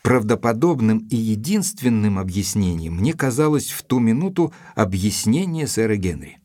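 Earnest gentleman narrator, very dry sound. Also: fairly low pitch, sing-song, no echo. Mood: pensive narration. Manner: measured, literary, formally courteous. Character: Doctor's first-person narrator, earnest, guarded gentleman investigator. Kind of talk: audio drama narration and dialogue.

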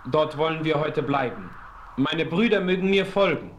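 An actor playing a noble Apache chief in a radio play, speaking calmly and formally. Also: male voice, fairly high pitch, normal speed, slight background noise, lightly acted. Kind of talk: 1970s radio play acting.

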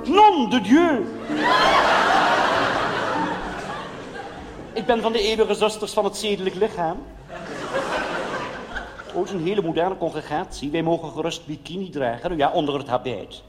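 A man's voice: high voice, animated, normal speed, long reverb tail, background noise. A man playing a chatty, naive, gushing modern nun in a cabaret monologue, confiding earnestly with frequent exclamations.

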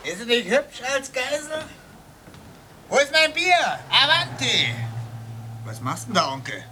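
A slow, high-voiced, menacing thug in a radio play. He is cocky and taunting and swings widely in pitch as he gives orders. The old cassette recording is clearly noisy. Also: big pitch swings, pushed and bright, full character voice. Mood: taunting, commanding. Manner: taunting, commanding, slow drawl. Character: cocky, menacing young hostage-taker, taunting and rootless. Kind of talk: radio drama acting.

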